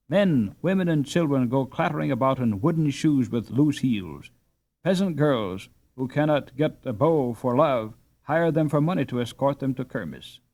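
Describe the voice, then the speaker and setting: A man narrates a classic storybook in a mid-range voice. His delivery is scripted and measured, with expressive phrasing suited to an audiobook. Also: medium pitch.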